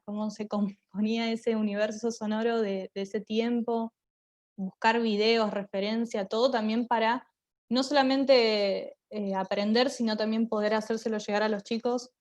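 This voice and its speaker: Young Argentine woman, reflective and enthusiastic. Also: medium pitch. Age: young adult. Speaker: radio theatre performer and drama teacher. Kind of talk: conversational panel interview.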